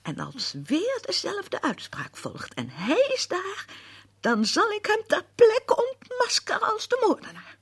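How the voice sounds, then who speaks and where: An actress playing a suspicious, fearful spinster sleuth in a radio play, brooding aloud in a halting, dramatic, whispery voice. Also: female voice, very high voice, wildly swooping pitch, full character voice. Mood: wary, determined. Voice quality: breathy, whispery, creaky. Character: suspicious, fearful spinster amateur sleuth confiding in her diary. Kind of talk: radio play acting, intimate monologue.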